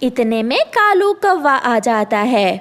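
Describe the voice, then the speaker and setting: A woman's voice: fairly high pitch, sing-song, takes it slowly. A woman narrating a scripted cartoon story as the storyteller.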